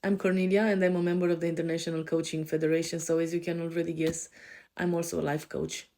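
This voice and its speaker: A woman in her forties with a Romanian accent, speaking in a low, mellow voice that stays fairly even in pitch. Her delivery is hesitant and punctuated by frequent pauses and fillers. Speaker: life coach and podcast co-host. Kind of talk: personal introduction on a podcast.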